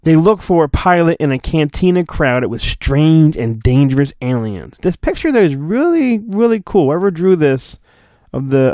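Man, podcast host, theatrical storybook reading, sarcastic rambling asides, very lively intonation, dry close sound. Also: medium pitch, no room sound. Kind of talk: mock-dramatic storybook reading with casual riffing.

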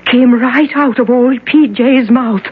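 Older charwoman, superstitious, slow and shrill, 1970s radio-play sound. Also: husky. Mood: insistent. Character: excitable older cleaning woman, superstitious. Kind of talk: 1970s radio drama acting. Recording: dull lo-fi 1970s radio broadcast.

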